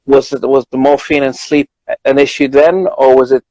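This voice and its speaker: A man with a British accent in a casual conversation, halting and repeating words as he finds his point. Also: mid-range voice, level tone, darkish tone, no room sound, rough recording. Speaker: journalist co-host. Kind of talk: casual chat.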